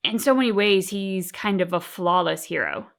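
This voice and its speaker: A woman co-hosting a reaction show, talking casually and thoughtfully, with deliberate, emphatic phrasing. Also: on the low side, lively intonation, vocal fry, a bit bright. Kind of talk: casual reflective discussion.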